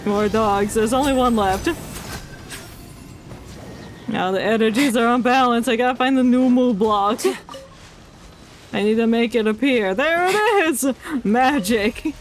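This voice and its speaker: A woman's voice: fairly high pitch, a little slow, pauses often, a little hiss or hum. A woman giving casual live commentary on a game, thinking aloud as the game music plays behind. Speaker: game streamer.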